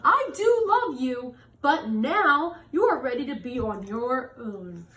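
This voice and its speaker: A woman voicing a kindly, encouraging father seahorse from a children's picture book, warm and emphatic. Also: sits high, very animated, takes it slowly, exaggerated voice. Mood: tender. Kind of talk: children's storybook character read aloud. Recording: echoey room with faint noise.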